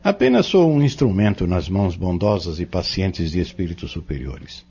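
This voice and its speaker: An actor playing a patient spiritist mentor in an audio drama, with a Brazilian accent and a formal, measured, preacherly delivery. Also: male voice, low-pitched, sing-song, vocal fry, dark tone, breathy edge, full character voice. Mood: earnest, instructive. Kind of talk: audio drama acting, didactic monologue. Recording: dull lo-fi audio.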